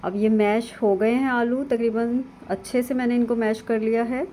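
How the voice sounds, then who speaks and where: A woman, calmly narrating a cooking lesson step by step over video of her hands at work. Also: on the high side, moderate pace, a little hiss or hum. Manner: calm, instructive, with frequent fillers. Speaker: cooking channel host. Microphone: voice-over over hands-only video.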